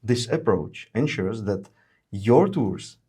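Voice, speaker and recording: A man presenting a software webinar, explaining features with frequent hesitations and fillers. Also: fairly low pitch, animated, slow, breathy edge. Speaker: webinar presenter, solution engineer.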